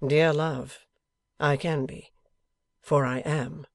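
A man reading as a solemn, affectionate young Victorian husband in a storybook, measured, formal and emphatic. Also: on the high side, steady tone, creaky, no echo. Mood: tender.

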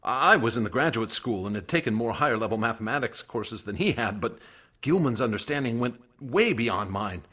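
Casting: worried young male grad student, husky voice, lively swings in pitch, earnest radio-play delivery. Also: normal speed. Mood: earnest, troubled. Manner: earnest, hesitant, expressive. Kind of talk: radio drama acting.

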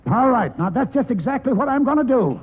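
A man's voice: very high-pitched, sing-song, fairly quick, very noisy. An older American man acting a stubborn, wily country grandfather in a radio drama, with folksy, rambling speech. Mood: defiant. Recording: muffled, husky-sounding vintage radio broadcast.

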